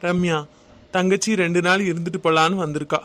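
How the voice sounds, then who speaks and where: A performer playing a patient young husband who gently coaxes his wife. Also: sits high, fairly even intonation, fairly quick. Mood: coaxing. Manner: gentle, coaxing, affectionate.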